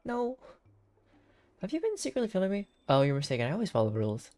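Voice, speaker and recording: A woman's voice: medium pitch, huge pitch swings, fast talker, dark tone. A woman streaming a game with playful, theatrical commentary, reading dialogue aloud. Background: faint game music.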